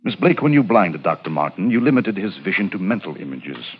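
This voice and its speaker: A solemn, considerate prison warden in a deep voice. His speech is formal and measured. The sound is a dull 1940s radio drama recording. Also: very animated, slightly rough, heavily acted. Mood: grave musing. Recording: muffled vintage radio recording.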